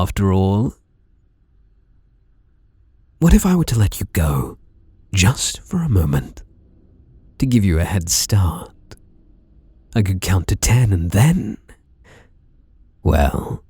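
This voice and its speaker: Man acting as obsessive British masked killer, husky, unhurried, theatrical menace, swooping murmur, ASMR roleplay. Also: fairly low pitch, big pitch swings, a little mellow, dry recording, heavily acted. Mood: gloating, possessive. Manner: slow, menacing, theatrical, mock-tender murmuring with whispered words. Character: sadistic, teasing, obsessive male masked slasher killer.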